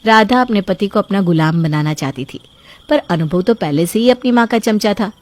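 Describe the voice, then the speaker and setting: A woman narrates a scripted family story at a mid pitch. Her delivery is even and steady, the plain manner of a storyteller. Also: moderate pace, dry recording.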